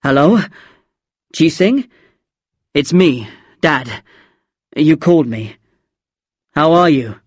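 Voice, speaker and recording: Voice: mid-range voice, lively intonation, slow pace, pauses often, no room sound. A narrator voicing an estranged father in an audiobook story, speaking in a tense, forced and unnatural way. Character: tense, awkward estranged father on a phone call.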